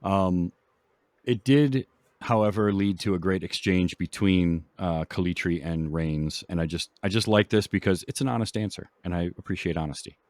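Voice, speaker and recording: A man's voice: deep voice, moderate pace, darkish tone, no echo. A man hosting a casual podcast discussion about films. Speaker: podcast host.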